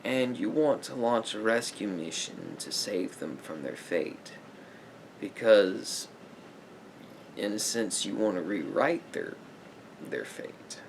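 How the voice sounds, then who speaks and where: A man speaking softly as a therapist in an ASMR roleplay, in a measured, halting, explanatory way. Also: fairly low pitch, lively intonation, vocal fry, a little hiss or hum, poor audio.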